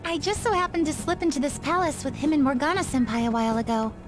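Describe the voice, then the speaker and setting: Teenage schoolgirl heroine for a video game, woman acting: high, youthful voice, courteous, sincere, tentative. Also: fast, music in the background, natural voice. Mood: earnest. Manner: polite, hesitant, earnest. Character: earnest, polite teenage schoolgirl with hidden powers.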